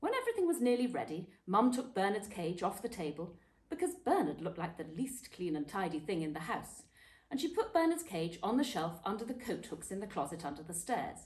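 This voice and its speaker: A woman reading a children's book aloud as a storyteller, expressively and dramatically, with emphatic pauses and stressed words. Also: mid-range voice, big pitch swings, a little mellow. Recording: echoey room.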